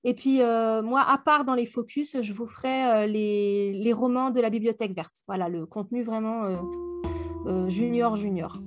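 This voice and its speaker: A woman in relaxed, informal fan discussion as a book club co-host, speaking slangily. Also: on the high side, moderate pace, over music. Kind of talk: casual chat, fan discussion.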